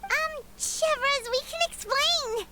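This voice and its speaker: Squeaky, tiny excitable fairy sidekick. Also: female voice, takes it slowly, heavy background noise. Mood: eager. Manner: exclamatory, speaks of herself in third person. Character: tiny excitable childlike female fairy companion. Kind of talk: video game character acting. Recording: game music behind the voice.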